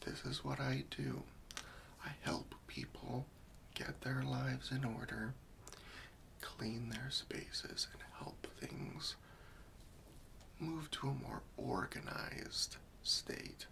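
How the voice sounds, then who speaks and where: A man acts out a gentle professional cleanup worker for an ASMR roleplay. His breathy whisper is crisp and bright, with a very gravelly crackle underneath. He speaks hesitantly and politely, soothing the listener with patient, faintly wry tact. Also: medium pitch, steady tone, slow, full character voice. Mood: calm, soothing.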